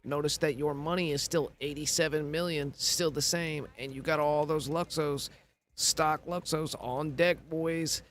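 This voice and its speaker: A man's voice: on the high side, fairly even intonation, a little slow, fairly bright tone. A man with a scratchy voice narrating a casual step-by-step game tutorial, walking through each step plainly. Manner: instructional, walks through steps plainly. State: scratchy voice from recovering illness.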